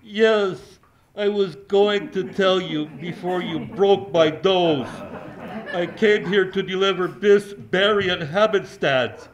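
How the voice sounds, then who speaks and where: A man's voice: high-pitched, slow, muffled recording. An actor playing a chatty small-town male newspaper reporter, rambling, eager and cheerfully oblivious. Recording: noticeable background hiss.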